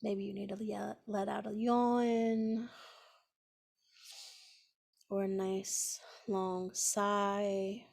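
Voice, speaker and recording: A woman leading a guided meditation in a gentle, soothing manner, pausing often between phrases. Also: medium pitch, level tone, gravelly. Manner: gentle, unhurried, with long pauses between phrases.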